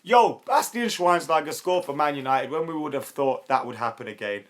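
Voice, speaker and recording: A young man with a hoarse, worn voice, hosting a live football chat stream, chatty and excitable and quick to laugh. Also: fairly high pitch. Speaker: YouTube football channel host.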